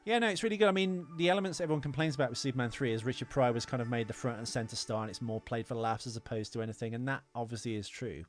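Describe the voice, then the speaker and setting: A man's voice: medium pitch, steady tone, very fast, few pauses, soft background music. A man co-hosting a casual film podcast, talking in an opinionated, rambling way with plenty of swearing. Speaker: podcast co-host.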